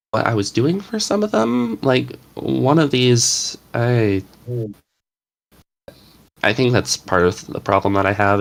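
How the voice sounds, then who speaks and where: A man's voice: medium pitch, gravelly. A man script writer talking casually and a little hesitantly about his idea lists. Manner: hesitant, with stumbles and fillers. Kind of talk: casual chat.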